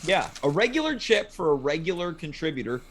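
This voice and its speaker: A man's voice: fairly high pitch, big pitch swings, fairly quick, a bit bright, faint music behind. A man hosting a talk show in a casual, chatty style. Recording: noticeable background noise.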